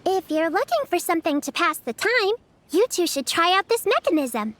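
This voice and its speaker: A woman's voice: very high-pitched, sing-song, moderate pace, a little hiss or hum, exaggerated voice. A woman voicing an earnest, caring little girl who looks after her friends, in a video game. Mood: cheerful. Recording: game audio with soft music underneath.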